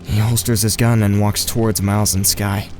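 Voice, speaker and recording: A man playing a watchful, tentative teenage boy, giving measured first-person narration in an audio drama. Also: on the low side, flat delivery, soft background music, lightly acted. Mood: tense.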